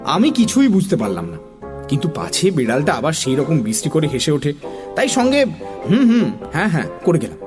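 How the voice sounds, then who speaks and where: Male narrator for a children's audio story: high voice, very animated intonation, expressive and puzzled in dialogue, music behind. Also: fairly quick.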